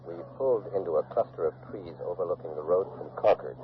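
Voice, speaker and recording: An American man playing a grave radio news correspondent giving a deliberate eyewitness report from a battlefield in a 1940s radio drama. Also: deep voice, natural voice. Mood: hushed, watchful. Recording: muffled, noisy 1940s radio recording.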